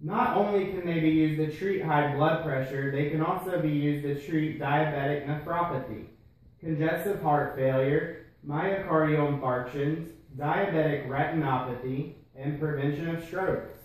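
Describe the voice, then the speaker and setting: A man's voice: fairly high pitch, a little slow, close to an everyday voice. A man playing a patient, explanatory health-care puppet in a scripted educational show. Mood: instructive. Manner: explanatory, instructional. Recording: roomy echo.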